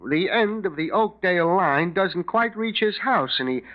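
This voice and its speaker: A desperate, weak-willed small businessman in an old radio crime drama. He is nervous and halting, his fairly high voice rising and dipping a lot, heard through a muffled vintage recording. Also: lively intonation. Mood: furtive, hesitant. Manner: nervous, stammering, hesitant. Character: anxious, desperate male garage owner, weak-willed and scheming. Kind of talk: 1940s radio mystery acting.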